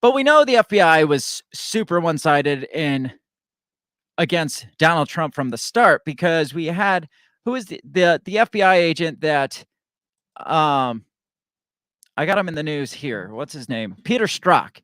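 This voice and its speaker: A man's voice: on the high side, animated, a little slow, a bit bright, no echo, clean studio sound. A young adult man hosting a political commentary show, talking casually and sarcastically, rambling with frequent restarts as he reads news stories aloud.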